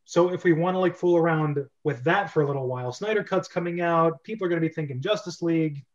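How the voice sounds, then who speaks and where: A man's voice: fairly high pitch, fast. A man hosting a casual livestreamed group chat about scripts, talking in an informal, rambling way. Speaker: host of an online script reading club. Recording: dull, lo-fi online stream audio.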